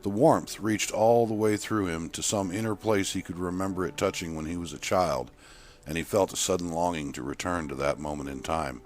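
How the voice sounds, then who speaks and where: A man's voice: sits low, normal speed. A man narrating a scripted short story, with music playing behind him. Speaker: author and narrator.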